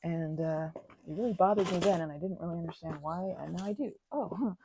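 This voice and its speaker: A woman chatting casually as she works on crafts at her table, slipping between soft whispers and louder bursts, teasing herself as she goes. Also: lowish voice, fast, background noise. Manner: chatty, self-deprecating, drifting between whispers and bursts of talk. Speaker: crafting ASMR creator. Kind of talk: rambling craft chat while working.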